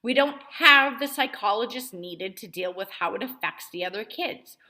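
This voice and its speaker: A woman's voice: mid-range voice, expressive, normal speed, sharp tone. A woman acting out an indignant, emphatic storybook mom. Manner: emphatic, self-righteous, complaining. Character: indignant self-righteous mother rallying other school moms. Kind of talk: children's novel read-aloud character voice.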